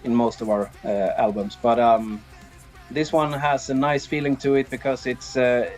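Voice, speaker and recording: A man from Sweden with a Swedish accent, answering interview questions casually as a band's drummer, often pausing to think. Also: mid-range voice, steady tone, a little mellow, soft background music. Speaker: band drummer, interview guest.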